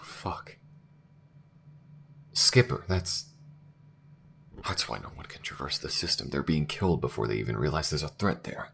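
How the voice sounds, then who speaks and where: A man's voice: extremely low pitch, creaky, pushed and bright, slight background noise, exaggerated voice. A man playing a bitter, fiery male alien war veteran in a sci-fi audio roleplay, speaking bluntly. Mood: shocked. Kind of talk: ASMR-style scripted sci-fi roleplay acting.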